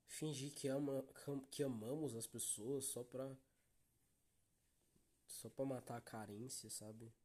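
A man's voice: mid-range voice, steady tone, unhurried, long pauses, mellow. A young Brazilian man with a Brazilian Portuguese accent hosting a solo podcast, talking in a weary, downcast way with heavy swearing and calling his listeners "guys". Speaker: podcast host. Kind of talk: solo confessional podcast monologue.

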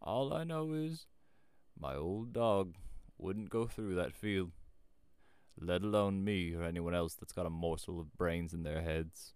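A man's voice: deep voice, a little mellow, clean tone, no room sound. Man voicing a grave old rustic storybook shepherd. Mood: grave warning. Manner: rustic dialect speech, slow emphatic warnings. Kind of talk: storybook character voice.

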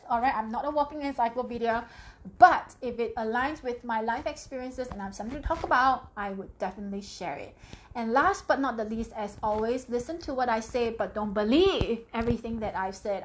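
A woman from Singapore, hosting a casual spiritual live talk and chatting through viewer comments. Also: fairly high pitch. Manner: chatty, reads viewer comments aloud, tags "right?". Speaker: live stream host.

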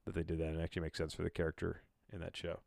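Male solo podcaster, lowish smooth voice in a dry recording, loose read-aloud delivery with bemused tangents. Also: monotone, very fast, darkish tone, no echo. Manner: rambling, self-interrupting, bemused; casual swearing. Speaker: podcast host. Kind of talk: casual solo podcast reading with rambling asides.

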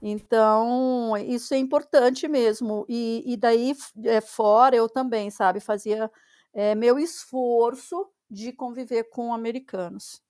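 A woman's voice: fairly high pitch, takes it slowly, dark tone. A Brazilian woman hosting a podcast, chatting casually and sharing her own experience. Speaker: podcast host. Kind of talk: casual interview conversation.

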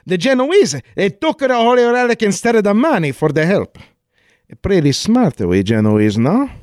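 A man playing an excitable, boastful Genoese guard with a put-on Italian accent and broken English in a comedic 1940s-style radio play. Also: high-pitched, normal speed, no room sound, clean studio sound. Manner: exclamatory, broken-English caricature.

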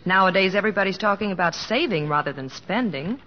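An actress playing a devoted, sensible middle-aged American wife in an old radio drama, tender, earnest and gently chiding. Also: female voice, medium pitch, animated, fast, a little hiss or hum. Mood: gently probing. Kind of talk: 1950s radio drama acting. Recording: muffled old radio recording with music.

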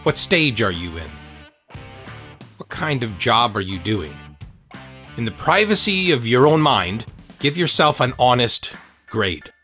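A man narrating his own book as an author and podcast host, in an earnest, measured, motivational way. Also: medium pitch, big pitch swings, pushed and bright, soft background music. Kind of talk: scripted audiobook narration.

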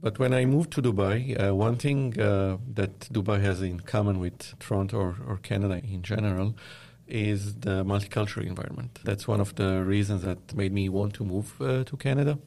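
A man from Lebanon answering interview questions, speaking in a measured way and often pausing with "uh". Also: fairly low pitch, creaky, mellow. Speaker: guest, media company founder.

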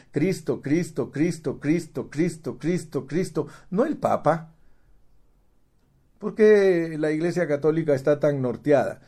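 A man's voice: mid-range voice, dark tone. A middle-aged man preaching a sermon, emphatic, repeating words and calling the listener brother. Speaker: pastor. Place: United States.

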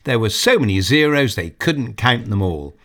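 A man reading a children's story aloud, narrating in an expressive, measured way. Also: mid-range voice.

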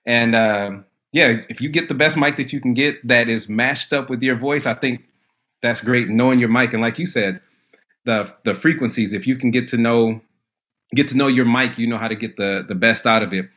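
Bright-voiced easygoing man chatting. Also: mid-range voice, moderate pace. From Lansing, Michigan, USA. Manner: easygoing, rambling with frequent restarts. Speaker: guest, a cappella artist. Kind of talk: casual conversation.

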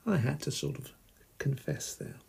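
A middle-aged man from England hosting a solo podcast, talking slowly and deliberately in a husky, gravelly voice. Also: medium pitch, darkish tone. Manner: slow, deliberate and plain. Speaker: podcast host. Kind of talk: slow solo podcast monologue.

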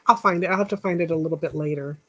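A woman hosting a casual live chat, rambling and encouraging, thanking viewers by name, with a stuffy nose and a voice that is going hoarse. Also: low-pitched, very fast. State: losing her voice. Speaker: podcast host.

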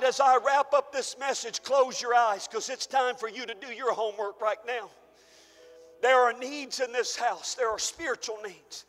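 Male pastor, fervent shouting preacher, strained voice, bright edge, echoing church hall. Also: extremely high pitch. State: losing his voice. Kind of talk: sermon.

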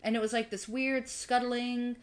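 A woman's voice: a little high, little pitch movement, a little slow. A woman co-hosting a video, telling a story in casual chat with wry, self-deprecating humor. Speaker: video co-host.

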